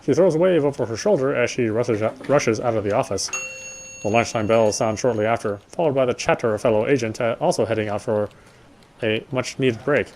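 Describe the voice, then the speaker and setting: A man's voice: mid-range voice, a little fast, a little mellow. A man reading visual novel text aloud as he plays, stumbling and rereading now and then with casual asides. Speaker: game streamer reading aloud.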